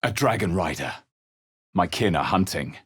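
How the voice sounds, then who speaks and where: A man voicing a wary, wry adventurer hero in a video game, with a husky voice and terse, theatrical delivery. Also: deep voice, expressive, normal speed, a bit bright, no echo, studio quality, exaggerated voice. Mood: watchful. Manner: terse, theatrical, emphatic on incantations. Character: young-adult male adventurer hero, alert, wry, chanting spells. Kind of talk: video game character barks and spell incantations.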